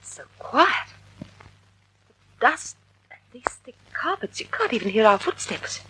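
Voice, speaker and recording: A woman's voice: on the high side, very animated, a little slow, bright, forceful tone, a little hiss or hum, exaggerated voice, rough recording. An actress playing an elegant, nervous and loyal lady companion to a sleuth in a 1940s-style radio mystery. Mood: hushed unease. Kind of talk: 1940s radio drama acting.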